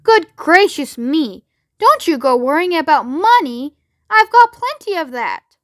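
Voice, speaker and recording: A woman voicing a brisk, exuberant eccentric man in a children's storybook, emphatic and exclamatory. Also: high voice, animated. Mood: delighted. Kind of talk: children's storybook read aloud.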